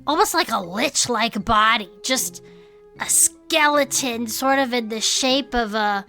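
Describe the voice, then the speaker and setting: An actress playing an earnest small-town cryptid club member in an audio drama, telling local monster lore in a folksy, suspenseful way. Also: female voice, on the high side, measured pace, gravelly, pushed and bright, soft background music, heavily acted. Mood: eerie, suspenseful. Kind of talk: conversational audio fiction storytelling.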